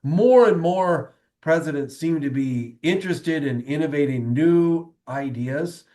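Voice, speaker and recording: Upbeat man hosting, slightly raspy. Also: on the high side, a little slow, warm tone. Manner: upbeat, chatty, frequent fillers. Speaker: podcast host. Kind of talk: casual podcast chat.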